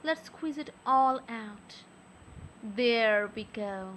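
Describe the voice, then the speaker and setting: Woman, young adult, high voice, slow unhurried delivery, soft teasing tone, close mic. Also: slight background noise. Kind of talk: intimate audio roleplay.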